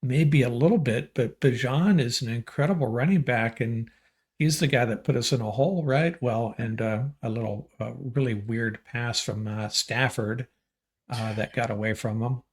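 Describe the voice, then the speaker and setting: Relaxed male podcast co-host, mid-pitched, hesitant delivery with fillers. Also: moderate pace. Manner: laid-back, hesitant, many fillers. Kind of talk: casual sports reaction chat.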